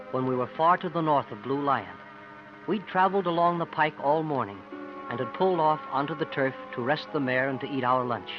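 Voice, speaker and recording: A man's voice: a little high, close to an everyday voice. An actor playing an earnest young man who narrates his frontier adventure in 1950s radio drama style. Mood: reflective, curious. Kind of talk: 1950s radio acting, first-person storytelling. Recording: dull 1950s radio recording with music.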